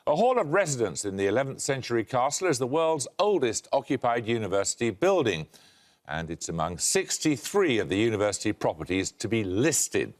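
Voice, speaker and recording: Dry, animated male quiz host. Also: medium pitch. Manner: dry, wry delivery with lively intonation. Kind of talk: scripted quiz presenting. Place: television studio.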